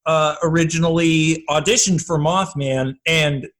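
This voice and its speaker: A man's voice: fairly high pitch, fairly even intonation, a little slow, a bit bright. A man chatting casually and enthusiastically as a guest creator in a podcast interview. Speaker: podcast guest, comedy audio drama creator.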